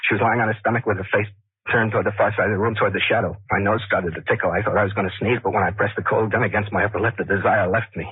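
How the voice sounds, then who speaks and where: An actor playing a nervous, obsessive killer who confides in a rambling, conversational way, in the manner of 1940s radio suspense narration. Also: male voice, fairly low pitch, steady tone, rapid-fire, husky, no echo. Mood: hushed, deliberate. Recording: scratchy, tinny 1940s radio recording.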